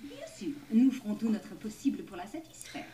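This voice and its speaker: An animated, formal cartoon headmistress. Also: female voice, on the high side, moderate pace, noisy background. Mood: deferential. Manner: formal, dignified, deferential to clients. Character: stiff, obliging middle-aged boarding-school headmistress. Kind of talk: French-dubbed animated drama acting. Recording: distant TV dub with background chatter.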